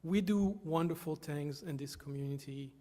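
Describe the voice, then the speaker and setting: A man from Haiti, giving an earnest, halting stage talk with many fillers. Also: a little high, warm tone. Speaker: volunteer speaker. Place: hall with an audience. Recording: long reverb of a large hall.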